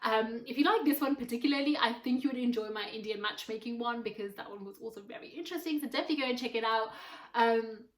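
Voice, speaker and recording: A woman's voice: fairly high pitch, fast talker. A young woman whose first language is Urdu, chatting casually and rambling with lots of fillers as she reviews a series. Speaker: video blogger reviewing a series. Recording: echoey room.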